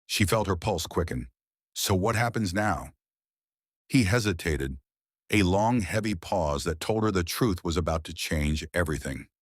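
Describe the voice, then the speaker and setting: Deep-voiced man narrating a thriller. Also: expressive, dry recording, clean studio sound. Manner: dramatic, tense delivery with pauses. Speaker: audiobook narrator.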